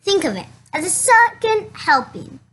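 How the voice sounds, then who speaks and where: Someone reading a children's storybook aloud in a halting way, stumbling over words and going back over them. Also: very high voice, sing-song, sharp tone, slight background noise. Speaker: reader of a children's picture book.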